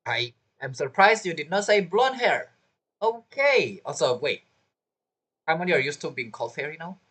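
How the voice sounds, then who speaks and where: Animated, joking game streamer. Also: medium pitch, normal speed, husky. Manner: joking, teasing, talks back to characters. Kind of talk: casual gameplay commentary.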